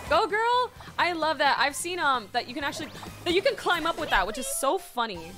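A woman's voice: high voice, lively intonation, fast talker, music in the background. A young woman streamer giving casual, chatty, gushing reaction commentary into a desk microphone at home. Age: young adult. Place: small home streaming room, indoors. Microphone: desk microphone on a boom arm.

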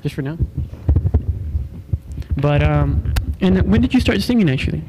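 A man's voice: fairly high pitch, animated, measured pace, mellow, a little hiss or hum, poor audio. A young man hosting a casual interview show, laid-back and slangy, speaking into a handheld mic. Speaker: show host.

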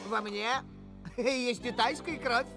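A man voicing an excitable, eager little dachshund sidekick, chattering loyally in a dubbed animated musical film. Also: very high voice, slow. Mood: exuberant. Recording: music behind the voice.

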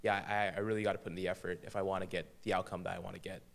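A young man, a high school senior guesting on a studio podcast, answering questions hesitantly with fillers and restarts. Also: level tone, racing, vocal fry. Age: young adult. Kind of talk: interview answers. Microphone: boom-arm studio mic.

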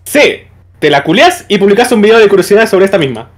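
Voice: medium pitch, big pitch swings, fast talker. Playful quizmaster. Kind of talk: casual banter.

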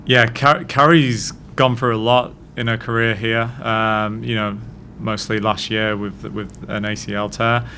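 A man in his thirties, a head coach answering post-match questions at a press conference in a measured, thoughtful way, speaking into a desk mic. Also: lowish voice, flat delivery, pushed and bright, a little hiss or hum. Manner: measured, thoughtful, frequent restarts. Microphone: desk mic on stand.

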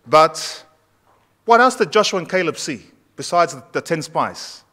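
A man's voice: on the high side, sing-song, unhurried, pushed and bright. A man in his thirties preaching a conversational sermon in a church sanctuary, asking the congregation questions as he goes. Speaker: pastor. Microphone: far camera at back of church.

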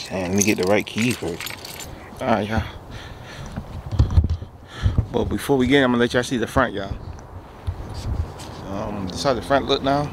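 A man, casually walking viewers through a small building while filming himself on a handheld camera. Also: medium pitch. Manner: chatty, addresses viewers as y'all. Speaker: family vlogger. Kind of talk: casual walkthrough vlog. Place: outdoors by a small wooden building, then inside it. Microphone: handheld camera at arm's length. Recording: outdoor camera audio with background noise.

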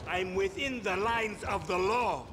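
A shifty, blustering male car dealer, indignant and excitable. His voice is extremely high for a man. The video game audio has clear noise behind him. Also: unhurried. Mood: panicked protest. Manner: blustering, protesting.

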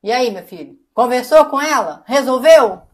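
Casting: actress as domineering Brazilian mother, high voice, dismissive, guilt-tripping, domestic drama. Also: animated, moderate pace. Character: indignant, domineering mother of a grown son.

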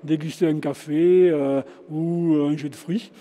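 A man's voice: on the high side, fairly even intonation, measured pace, mellow. Hesitant older man in a chapel. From France. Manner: hesitant, many fillers and restarts. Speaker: shop owner and local historian. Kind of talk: casual interview. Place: large former chapel, indoors. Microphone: handheld interviewer mic, face mask on. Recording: long reverb of a stone chapel.

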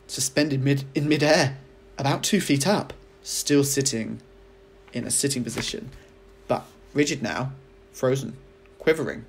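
A man, a teacher reading a children's audiobook aloud as an expressive storyteller. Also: mid-range voice, creaky, a little hiss or hum.

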